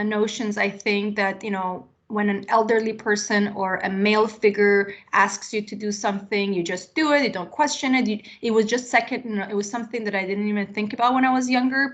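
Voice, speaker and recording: A woman in her late forties, born in Iran, speaks as an interview guest at a middle pitch. She talks reflectively and casually, pausing often with "you know" and "um" while she works through personal experience. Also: fairly bright tone. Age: 40s. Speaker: interview guest, tech executive.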